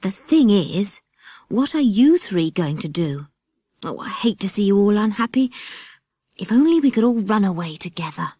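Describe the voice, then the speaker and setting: A woman's voice: medium pitch, sing-song, normal speed, mellow, breathy edge, dry recording, clean studio sound. A woman voicing an earnest, loyal young country boy in a children's audiobook. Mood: wistful, concerned.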